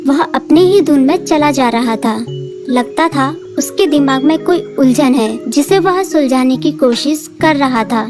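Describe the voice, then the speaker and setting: A woman narrating a cartoon story in a calm, even storytelling voice. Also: high voice, a little slow, over music.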